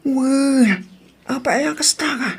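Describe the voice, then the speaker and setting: A man acting as a devoted, defensive young boyfriend with a creaky voice. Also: very high voice, slow, exaggerated voice. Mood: defensive, pleading. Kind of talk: Ilocano audio drama acting.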